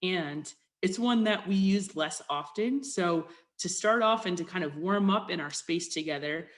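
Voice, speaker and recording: A woman's voice: fairly low pitch, a little slow. A woman presenting an online workshop over a video call in a conversational, informal way, inviting people to join in. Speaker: workshop presenter, health promotion specialist.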